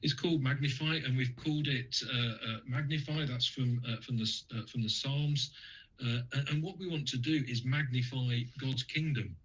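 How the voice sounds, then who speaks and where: A man's voice: medium pitch, moderate pace, fairly bright tone, husky, lo-fi sound. A man from the UK speaking as a guest on a radio interview, talking in a hesitant way with many fillers and restarts.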